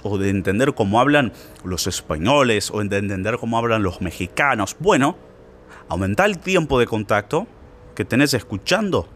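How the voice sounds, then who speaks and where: Animated male teacher. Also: on the low side. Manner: informal, insistent, slangy, addresses listener directly. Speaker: Spanish teacher for learners. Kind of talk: casual spoken lesson.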